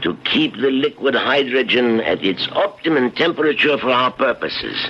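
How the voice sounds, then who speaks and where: An actor playing a confident, precise doctor-scientist in a measured, lecturing radio drama style. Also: male voice, mid-range voice, expressive, lightly acted. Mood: precise, explanatory. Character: confident, precise male doctor-scientist explaining his machine. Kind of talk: old-time radio drama acting. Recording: dull, noisy 1970s radio recording.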